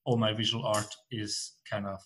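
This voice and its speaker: A man's voice: lowish voice, level tone, moderate pace, a bit bright, slight background noise. A man hosting a podcast, asking questions in a loose, searching way that often restarts mid-sentence. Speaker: podcast host. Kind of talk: casual interview chat.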